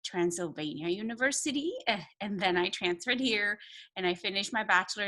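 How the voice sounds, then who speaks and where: A middle-aged woman teacher with a Romanian accent giving an informal welcome talk, often pausing to search for words. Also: mid-range voice, sharp tone, low-quality recording. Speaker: second grade teacher.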